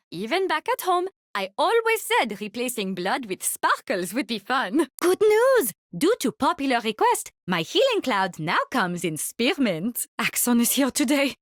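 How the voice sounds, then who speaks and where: A woman's voice: sits high, very animated, a little slow, no echo, studio quality. A woman playing an eccentric, cheerfully morbid French mushroom scientist in a video game, with a French accent and a wry, theatrical delivery. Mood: gleeful. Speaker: video game character voice lines. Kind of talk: video game hero barks.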